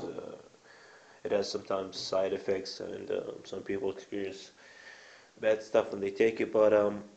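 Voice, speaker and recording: Low-voiced man rambling on a webcam. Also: a little mellow, lo-fi sound. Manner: rambling, many fillers and restarts, blunt crude words. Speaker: vlogger. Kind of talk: casual product show-and-tell.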